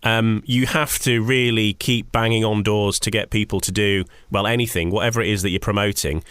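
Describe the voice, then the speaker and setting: A man's voice: on the low side, steady tone, fairly quick, a bit bright, clean tone, no room sound. A man hosting a conversational radio interview, wording things in a rambling way and repeating words as he goes.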